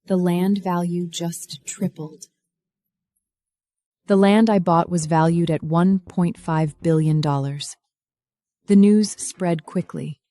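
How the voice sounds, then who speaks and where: A woman plays a cool, defiant heiress telling her own story of revenge. Her voice sits low and mellow, recorded dry and close, in measured dramatic narration. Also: steady tone, no echo. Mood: measured, tense. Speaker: narrator and lead of an audio drama. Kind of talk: scripted first-person dramatic narration.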